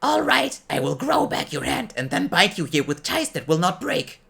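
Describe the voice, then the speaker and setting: A man's voice: high voice, big pitch swings, moderate pace, gravelly, bright, forceful tone, pitched above the performer's own voice, exaggerated voice. A man voicing a vengeful, scheming old witch in a storybook reading.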